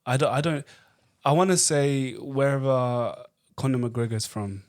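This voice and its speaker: A man's voice: mid-range voice, unhurried, darkish tone. A young man hosting a podcast, talking in casual, slangy banter and laughing easily. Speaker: podcast host.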